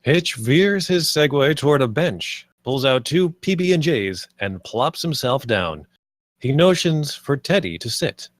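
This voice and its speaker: A man's voice: mid-range voice, animated, measured pace, dry recording, clean studio sound. A man narrating the stage directions and scene headings of a screenplay at a table read.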